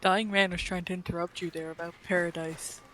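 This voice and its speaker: A woman's voice: lowish voice, flat delivery, a little fast, bright, forceful tone, poor audio. Casual female co-commentator, faint background noise. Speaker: stream co-commentator. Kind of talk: casual chat over gameplay.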